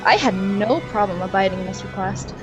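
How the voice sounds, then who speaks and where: A woman's voice: medium pitch, moderate pace, over music. A young woman reading fan fiction aloud in a mock-dramatic way and adding sarcastic, giggly comments between passages.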